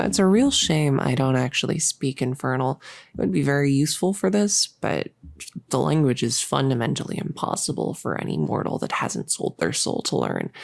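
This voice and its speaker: A performer voicing a bossy yet gentle cultist experimenter in a roleplay audio drama, with a creaky voice and a coaxing, hesitant, rambling manner. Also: medium pitch, a little hiss or hum.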